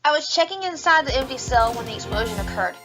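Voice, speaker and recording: A woman's voice: high-pitched, animated, quick pace, loud music behind the voice. A woman playing an earnest, defensive rabbit police officer giving careful, formal testimony in an audio drama. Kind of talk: fan audio drama acting.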